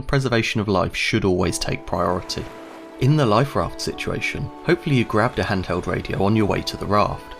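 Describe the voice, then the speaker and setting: A man's voice: medium pitch, vocal fry. A man giving a scripted instructional narration, explaining step by step in a conversational way.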